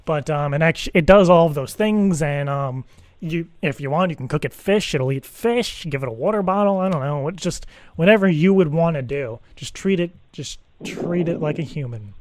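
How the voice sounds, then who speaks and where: A man playing an earnest, anxious divorced dad in an improvised prank phone call, rambling and repeating himself haltingly. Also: fairly high pitch, close to an everyday voice. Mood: earnest, explaining. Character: earnest, anxious divorced dad seeking a teddy-bear sitter. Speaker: prank caller.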